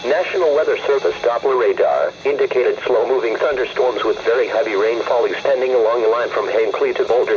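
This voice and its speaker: A synthetic man's voice reading a scripted weather alert over the radio in a formal, impersonal bulletin manner. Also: medium pitch. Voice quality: synthetic text-to-speech voice. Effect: weather radio broadcast. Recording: dull lo-fi radio with faint noise.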